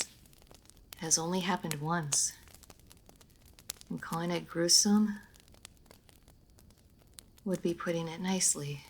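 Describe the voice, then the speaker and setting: A woman playing a refined, reserved vampire noblewoman in a roleplay audio drama, speaking formally with measured diction, hesitant pauses and dry playfulness. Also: lowish voice, vocal fry, a little hiss or hum, rough recording. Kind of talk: roleplay audio drama, intimate monologue to listener.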